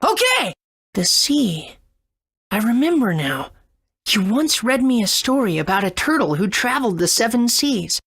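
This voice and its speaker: A woman voicing an earnest, excitable young boy adventurer in a video game. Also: on the high side, measured pace, slightly rough, heavily acted. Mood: curious, alarmed.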